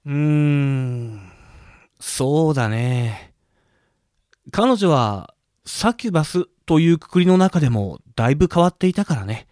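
An actor voicing a mild, genial gym manager who explains things gently in an audio drama. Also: mid-range voice, animated, a little slow, dry recording, clean studio sound, natural voice. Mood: genial, explaining. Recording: music under the voice.

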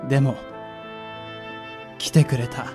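A man playing a gentle, earnest young man who is shyly in love, in a radio drama. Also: medium pitch, flat delivery, takes it slowly, over music, close to an everyday voice.

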